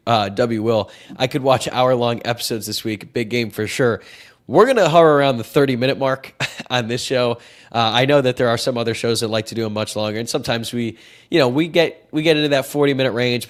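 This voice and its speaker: A young man with a stuffy, cold-blocked nose talks in an easy, chatty way as a sports podcast host. He answers viewers' questions with firm opinions and quick side remarks. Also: mid-range voice.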